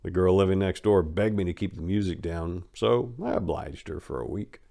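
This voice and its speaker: A man's voice: sits low, moderate pace, creaky, warm tone. A man narrating a first-person memoir as a dramatic reading, with emphatic stresses and asides that trail off.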